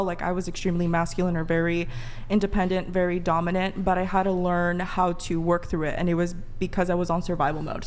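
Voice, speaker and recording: A woman's voice: lowish voice, slight background noise. A woman who coaches women on dating, explaining her views earnestly and at length. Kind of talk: panel talk.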